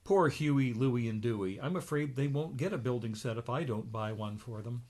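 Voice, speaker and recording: A man's voice: medium pitch, normal speed, a little mellow, natural voice. A man voicing a caring, worried female duck while reading a comic book aloud. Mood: concerned.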